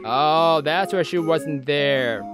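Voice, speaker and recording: Visual novel read-aloud host: mid-pitched, casual, hesitant asides, clear game music underneath. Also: takes it slowly. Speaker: let's play host.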